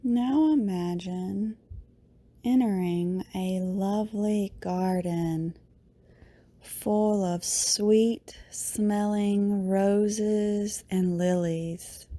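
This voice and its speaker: Very slow, soothing woman guiding meditation. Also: mid-range voice, fairly even intonation, gravelly. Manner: soothing, unhurried, hushed phrase endings with long pauses. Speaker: yoga teacher, meditation guide. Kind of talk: guided meditation narration.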